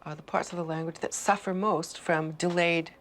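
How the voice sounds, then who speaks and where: A woman scientist explaining research in a measured, confident way in an interview. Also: fairly low pitch, steady tone, a little hiss or hum.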